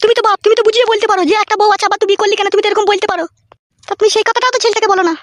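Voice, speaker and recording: A woman's voice: very high voice, fairly even intonation, rapid-fire, no echo, clean studio sound. A woman voicing a scheming, quarrelsome village mother-in-law in a comedy cartoon, scolding and combative. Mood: complaining, accusing. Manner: scolding, combative, foul-mouthed. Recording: music playing behind.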